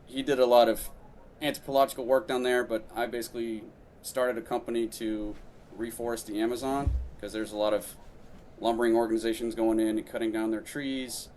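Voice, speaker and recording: A man's voice: mid-range voice, level tone, brisk, a little mellow, faint music behind. A man in his thirties from Brazil, a podcast guest telling his story in casual, rambling chat full of fillers and restarts.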